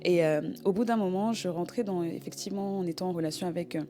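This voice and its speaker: Woman with lowish voice, haltingly sharing testimony. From Congo. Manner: halting, searching for words, repeating herself. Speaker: guest giving a testimony.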